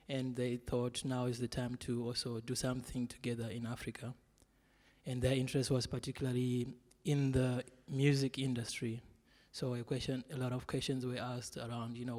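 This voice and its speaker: A man from Zimbabwe giving a panel presentation, speaking in a measured way with frequent fillers. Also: mid-range voice, darkish tone. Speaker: guest speaker. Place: large exhibition hall. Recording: long hall reverb.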